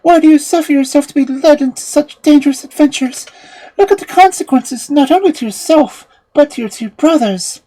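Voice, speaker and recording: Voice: high-pitched, brisk, warm tone, voice pushed up, close to an everyday voice, poor audio. A storyteller voicing a distressed, lovesick young woman from a fairy tale, reproachful and pleading in a plaintive, dramatic way. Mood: anguished reproach.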